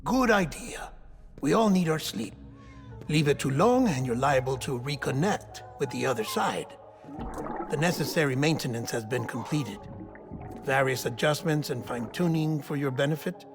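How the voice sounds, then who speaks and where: An actor playing a wry, knowing clinical expert in a video game, with precise, formal phrasing. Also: male voice, fairly high pitch, lively intonation, moderate pace, music in the background. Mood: calm, wry. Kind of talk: cinematic video game acting.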